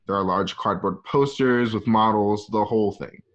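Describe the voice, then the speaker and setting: Male narrator for a script reading: lowish pitch, informal, halting delivery, lo-fi home sound. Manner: halting, casual, present-tense scene description. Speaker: narrator reading stage directions. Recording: dull, lo-fi home recording.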